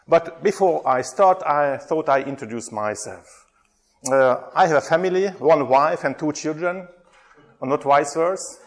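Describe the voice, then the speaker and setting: A middle-aged man with a Hungarian accent giving a workshop talk, hesitant and chatty, putting questions to the audience. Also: medium pitch. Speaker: workshop presenter, theology lecturer.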